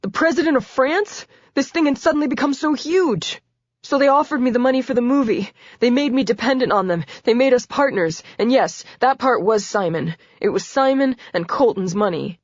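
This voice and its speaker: A woman's voice: fairly high pitch, moderate pace, dry recording, dull audio, voice pushed up, natural voice. A woman narrator voicing a panicked male film star who pleads and blurts out everything in an audiobook. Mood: frantic. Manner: frantic pleading.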